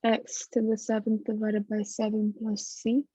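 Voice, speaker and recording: A young woman on a video call, taking a math lesson as a student and answering with short, quiet acknowledgments. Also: mid-range voice, completely flat delivery, normal speed, breathy edge, no echo. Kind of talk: casual tutoring session. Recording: dull lo-fi call audio.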